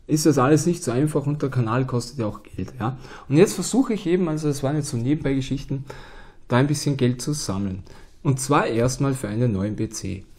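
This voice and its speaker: A man in his thirties with an Austrian accent and a cold, chatting to camera as a board game channel host in a small room at home. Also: medium pitch. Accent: Austrian German. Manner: relaxed and chatty, with many filler "ja"s and "ähm"s. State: has a cold. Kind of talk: casual talk to camera.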